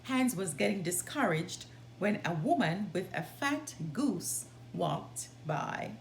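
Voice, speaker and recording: A woman reading a children's story aloud in an expressive, deliberate way. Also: lowish voice, vocal fry. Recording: roomy sound, background noise.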